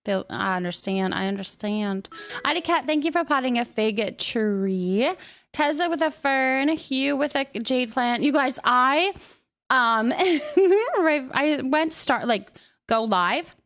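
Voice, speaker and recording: A woman's voice: high-pitched, expressive, takes it slowly, fairly bright tone. A woman hosting a livestream, chatting casually and greeting viewers in a bubbly, affectionate, chatty way. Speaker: live streamer hosting.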